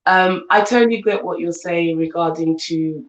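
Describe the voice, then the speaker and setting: A woman's voice: lowish voice, moderate pace, roomy sound, muffled recording. A woman in her thirties with a London accent, a guest talking earnestly in a casual chat and often asking "do you see what I'm saying". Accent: London English. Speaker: guest, coach and entrepreneur.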